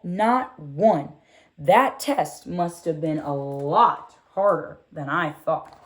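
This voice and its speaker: Woman reading as an unhurried, wondering six-year-old girl. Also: fairly high pitch, pitched above the performer's own voice. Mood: puzzled. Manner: expressive, wondering, asks rhetorical questions. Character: six-year-old girl, wide-eyed, curious, proud storyteller. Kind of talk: first-person children's storybook read aloud.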